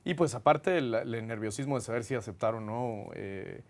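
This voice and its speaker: A man's voice: mid-range voice, moderate pace. A man from Guatemala with a Guatemalan accent, a film director answering interview questions in an earnest, reflective way with frequent hesitations. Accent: Guatemalan Spanish.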